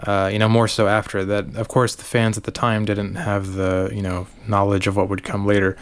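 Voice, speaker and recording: A man's voice: lowish voice, monotone, moderate pace, smooth. A man co-hosting a podcast, chatting in an easygoing way with plenty of fillers. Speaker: podcast co-host. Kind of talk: casual chat.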